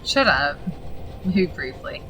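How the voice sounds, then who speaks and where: Gravelly woman streamer, bright lower voice, rambling and swearing over a faint hiss. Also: big pitch swings, slow pace, low-quality recording. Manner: chatty, swears freely, reads game text aloud. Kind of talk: casual gaming stream commentary.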